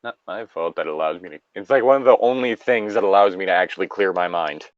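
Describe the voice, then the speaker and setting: A man's voice: deep voice, fast talker, no room sound. A man co-hosting a story reading show, reading aloud and chatting casually with joking, wry asides. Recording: dry, slightly dull lo-fi mic.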